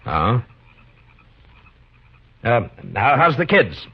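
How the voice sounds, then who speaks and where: A man with an American accent playing a stern, steady marshal in a 1950s radio western, terse and commanding. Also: mid-range voice, extremely slow, husky, full character voice. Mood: polite, guarded. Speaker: lead actor and narrator. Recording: dull vintage radio recording with music.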